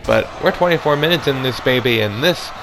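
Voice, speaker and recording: A man doing hoarse, excitable play-by-play commentary on a wrestling match, calling moves and near-falls. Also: medium pitch, a little fast, background noise. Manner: excitable, calls moves and counts.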